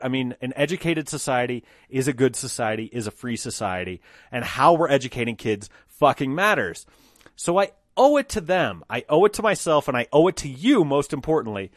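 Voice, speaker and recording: A man's voice: mid-range voice, dry recording, studio quality. A man from Colorado hosting his podcast alone, talking unscripted, swearing freely and acting out mock quotes. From Colorado, United States. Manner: profane, animated, acts out mock quotes. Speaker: podcast host. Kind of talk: unscripted solo monologue.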